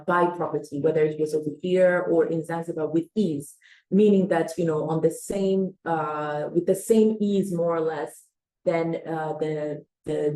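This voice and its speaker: A woman with a low voice gives a webinar presentation, loose and conversational. She grew up in Switzerland and is middle-aged. She keeps pausing on fillers and restarting her phrases. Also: mellow, low-quality recording.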